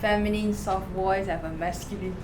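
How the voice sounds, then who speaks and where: A woman's voice: medium pitch, steady tone, a little fast, roomy sound, background noise. A woman co-hosting a casual chat, asking curious follow-up questions. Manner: inquisitive, asks follow-up questions.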